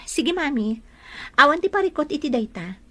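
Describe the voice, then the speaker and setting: Sweet young woman in love, female actor, reassuring, fairly high voice, radio-style drama. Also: very animated, moderate pace, bright, forceful tone, full character voice. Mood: respectful, cheerful.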